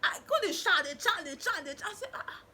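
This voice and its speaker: A young woman with a Nigerian accent, telling stories to camera in a small bedroom with animated gestures. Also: extremely high pitch, fast, slight background noise. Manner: animated, expressive, indignant storytelling with hand gestures. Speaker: vlogger. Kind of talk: storytelling to camera. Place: small bedroom with curtain backdrop.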